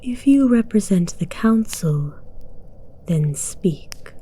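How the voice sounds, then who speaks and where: A woman voicing an imperious, menacing dragon queen in an ASMR roleplay, speaking formally in a breathy near-whisper. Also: mid-range voice, expressive, slow, warm tone, low-quality recording. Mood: demanding.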